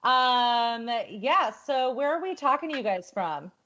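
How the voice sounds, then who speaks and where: A woman's voice: fairly high pitch, expressive, fairly bright tone, muffled recording. A woman hosting a live dating game show from home over a video call, teasing her guests playfully. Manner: playful teasing, quick laughs. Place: home at her parents' house.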